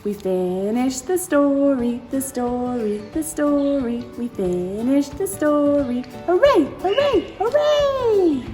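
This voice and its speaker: A woman's voice: high-pitched, expressive, slow, hardly any pauses, dark tone, faint music behind. A woman reading a storybook aloud to young children as a story time host, expressively, with exclamations and asides to her listeners. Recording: clear background noise.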